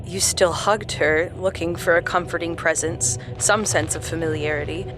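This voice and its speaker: An actress plays a perceptive, caring woman in a horror audio drama. Her voice is a little low and her delivery is soft and measured. A faint ambient noise sits behind her. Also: fairly even intonation, natural voice. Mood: knowing, solemn. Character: gentle, perceptive woman, concerned companion to a troubled man.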